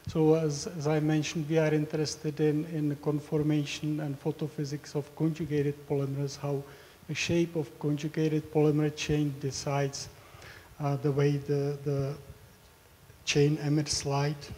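Man, 50s, professor lecturing, halting delivery with lots of fillers, flat mellow voice, long hall reverb. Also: on the high side, a little hiss or hum. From Czech Republic. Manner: hesitant, with frequent fillers and repeated words. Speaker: professor giving a research talk. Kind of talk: academic presentation.

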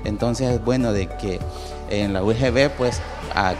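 Low-voiced hesitant father. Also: over music. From El Salvador. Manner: halting, many fillers and restarts. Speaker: parent and teacher. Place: university event hall, indoors. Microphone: handheld mic.

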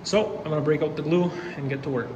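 Man, raised pitch, rambling casual narration, echoey concrete basement, audible noise behind. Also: steady tone, quick pace. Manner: rambling, matter-of-fact, many fillers. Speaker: DIY home renovator. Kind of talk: casual narration while filming. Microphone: phone camera held while walking.

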